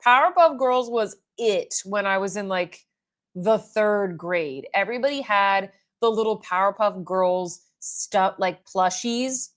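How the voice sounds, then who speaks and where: A woman's voice: mid-range voice, a little slow. A woman in her thirties hosting a beauty livestream at home. She chats casually, reads out viewer comments and rambles with lots of "like" fillers. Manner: chatty and rambling, with frequent "like" fillers. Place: at home doing makeup in front of a mirror.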